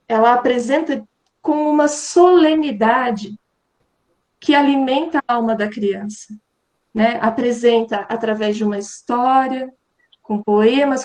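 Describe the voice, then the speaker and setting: High-voiced woman teacher explaining gently. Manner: gentle, explanatory, frequent tag questions. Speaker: Waldorf teacher. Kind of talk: online talk answering questions.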